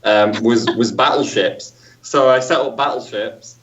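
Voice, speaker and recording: A man's voice: medium pitch, a little slow. A man chatting as a podcast guest, rambling and stop-start with lots of restarts. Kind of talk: casual chat. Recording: distant, dull lo-fi sound.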